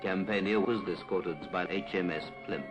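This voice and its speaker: A British man reads a scripted 1950s public information film narration in a formal, measured, clipped manner. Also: deep voice, steady tone, dull audio. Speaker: documentary narrator. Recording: 1950s film soundtrack with music.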